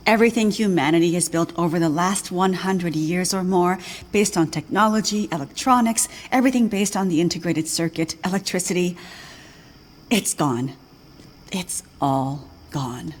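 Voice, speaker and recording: Over clear ambient noise, an actress plays an older woman scientist with a lowish voice. She is grave and measured, delivering solemn warnings in a video game drama. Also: close to an everyday voice. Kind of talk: video game cutscene acting.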